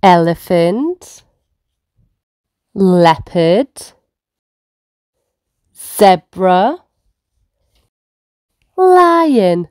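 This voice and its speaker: A woman's voice: medium pitch, sing-song, drawn-out words, long pauses, darkish tone, no room sound, studio quality. A woman reading aloud to toddlers as a children's storyteller, in simple, encouraging child-directed speech. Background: faint music.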